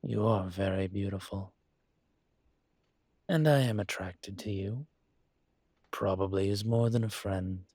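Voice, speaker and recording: A man playing a suave, courteous dragon mafia boss in an intimate roleplay audio, with a husky, breathy voice and a gentle, teasing formality. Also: sits low, measured pace, pauses often, no room sound, studio quality. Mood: tender, flirtatious.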